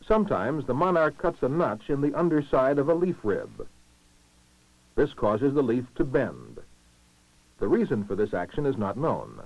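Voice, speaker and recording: A male narrator from a 1960s educational film, speaking in a formal, measured way with expressive rises and falls. His mid-pitched voice comes through a dull, muffled old film soundtrack with very little room sound. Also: dry recording. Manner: formal, measured, clearly enunciated delivery. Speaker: documentary narrator.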